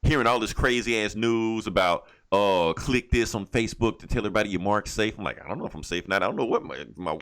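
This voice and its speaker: A man from the United States with a fairly deep voice hosts a casual podcast. He tells stories conversationally, with plenty of joking and swearing. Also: fast talker, hardly any pauses, a bit bright, clear, resonant tone. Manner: profane, joking storytelling. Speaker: comic book podcast host.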